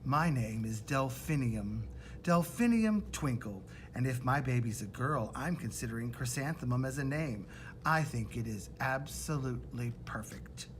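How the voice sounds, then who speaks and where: A man's voice: medium pitch, lively intonation, moderate pace, slight background noise, close to an everyday voice. Man doing a kindly, whimsical woman music teacher. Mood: gently proud.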